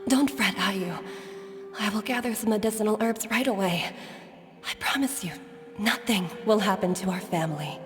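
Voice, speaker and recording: A woman's voice: medium pitch, normal speed, over music, natural voice. A woman playing an earnest, devoted wife and mother in a dramatic video game scene, with long reverb. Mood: reassuring.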